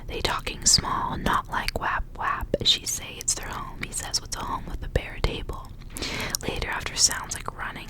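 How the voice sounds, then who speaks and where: Whispering young woman reading ASMR. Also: rough recording. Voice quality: breathy, unvoiced whisper. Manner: soft, intimate narration with casual asides. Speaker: ASMR reader.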